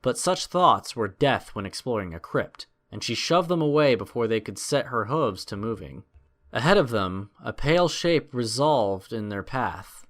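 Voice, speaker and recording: A man's voice: medium pitch, expressive, normal speed, fairly bright tone. A man narrating a scripted adventure story in a dramatic storytelling style.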